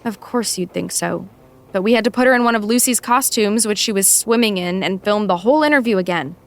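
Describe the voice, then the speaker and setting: A woman's voice: mid-range voice, a little fast, close to an everyday voice. A woman playing a blunt, exasperated assistant in an audio drama, firm, articulate and dryly sarcastic. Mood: dry, brisk. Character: blunt, exasperated female assistant scolding her boss. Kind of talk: naturalistic audio drama acting.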